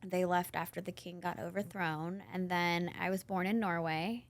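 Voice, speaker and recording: Chatty, animated woman in her thirties. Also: lowish voice, moderate pace. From Northern Virginia, USA. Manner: chatty, animated storyteller, laughs often. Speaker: podcast guest. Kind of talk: casual interview chat.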